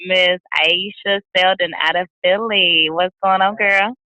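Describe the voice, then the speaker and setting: Upbeat woman host, bright punchy voice, dry sound, laughs a lot, casual slang. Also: on the low side, measured pace, no room sound, rough recording. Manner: lively, laughs often, slangy. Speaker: host of a virtual summit. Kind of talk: interview hosting. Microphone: video call.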